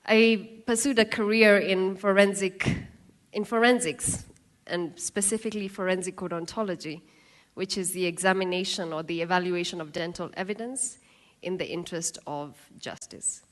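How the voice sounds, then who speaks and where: A woman in her thirties from Kenya with a Kenyan accent, telling her life story in a lively talk with asides and acted-out quotes. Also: mid-range voice, unhurried. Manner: lively, laughing, acts out quoted voices. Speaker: speaker giving a talk.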